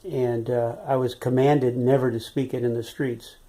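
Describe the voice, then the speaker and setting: A man in his eighties from San Francisco, a retired biology teacher recalling his life in an unhurried, rambling interview, with many "uh"s and "you know"s. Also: mid-range voice, little pitch movement, mellow. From San Francisco, California. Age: 80s. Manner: rambling, with frequent "uh" and "you know", chuckles as he remembers. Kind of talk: unhurried oral history reminiscence.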